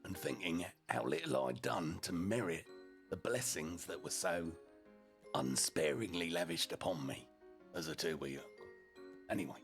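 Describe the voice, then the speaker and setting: Very deep, gravelly male storyteller. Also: normal speed, soft background music. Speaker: storyteller, streamer narrating in character as a deputy. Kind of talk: humorous story reading, dramatic first-person.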